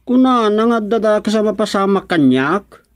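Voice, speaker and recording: A woman's voice: medium pitch, lively intonation, normal speed, no echo, clean studio sound, natural voice. Schoolboy role, female actor: Ilocano accent, stubborn, troubled, sullen, radio drama delivery. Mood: uneasy.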